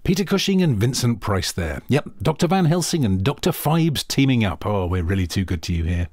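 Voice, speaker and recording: A man's voice: lowish voice, big pitch swings, brisk, darkish tone, no echo, clean studio sound. A man presenting radio continuity informally, with a playful, jokey manner.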